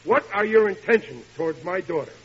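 High-pitched blustering radio-sitcom dad. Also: male voice, lively intonation, brisk, slightly rough, heavily acted. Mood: stern, angry. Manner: indignant, folksy, ungrammatical speech. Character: blustering, dim-witted working-class family father. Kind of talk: 1940s radio sitcom acting. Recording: hissy vintage radio broadcast, dull.

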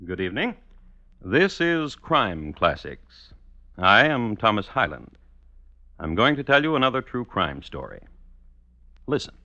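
A man narrating as an erudite true-crime host on old-time radio, deliberate and dryly macabre in clipped phrases. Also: lowish voice, very animated, bright, forceful tone, dry recording, heavily acted. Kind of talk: 1950s radio scripted narration. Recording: muffled old radio broadcast.

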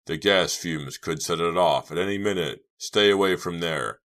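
A man's voice: rumbling low, steady tone, moderate pace, fairly bright tone. A man voicing a desperate, determined young man in an audiobook, speaking with urgency. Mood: alarmed. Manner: urgent, exclaiming. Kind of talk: audiobook character voice.

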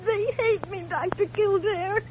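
A woman's voice: extremely high pitch, a little slow. An actress playing a wounded, lonely teenage girl, crying as she speaks, in vintage radio drama style. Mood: tearful despair. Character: wounded, lonely fifteen-year-old girl breaking down. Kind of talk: 1950s radio drama acting. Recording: muffled, faintly noisy vintage radio recording.

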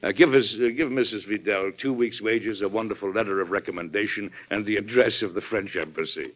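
A man acting a plain-spoken American president with a fairly low voice. He sounds folksy, deliberate and resolute, in the manner of classic radio drama. The sound is dull and lo-fi. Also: close to an everyday voice. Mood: amused, folksy. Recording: dull lo-fi vintage radio recording.

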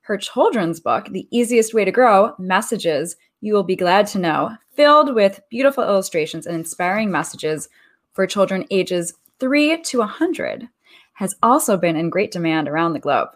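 A woman's voice: medium pitch, animated, normal speed. A woman hosting a radio talk show, upbeat and friendly, reading a guest's introduction and opening the interview.

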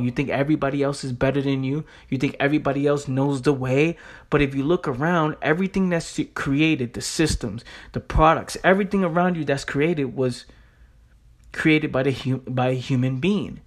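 A young man giving an informal motivational monologue in a blunt, streetwise, emphatic way, punctuated with rhetorical questions and casual swearing. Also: mid-range voice. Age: young adult. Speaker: motivational speaker.

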